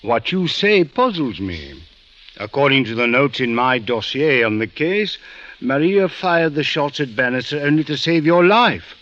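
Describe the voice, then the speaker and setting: An actor playing a calm, wise male police officer, measured and philosophical, with 1940s radio acting. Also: medium pitch. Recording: dull old radio recording.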